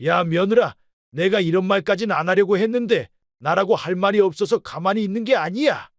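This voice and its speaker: A man's voice: sits high, fairly quick, no room sound, studio quality. A man playing a brazen, blustering old father-in-law in a radio drama, scolding coarsely and blaming everyone else. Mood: indignant ranting. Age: older. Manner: scolding, coarse, self-pitying. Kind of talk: Korean radio drama acting.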